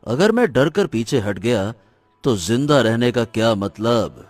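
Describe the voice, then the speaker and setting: Man acting a brave, animated cartoon hero. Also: medium pitch, a little slow, no room sound, faint music behind. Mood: anguished resolve. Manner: earnest, dramatic, determined. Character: brave, resolute human hero rescuing captives. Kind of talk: dramatic animated story dialogue.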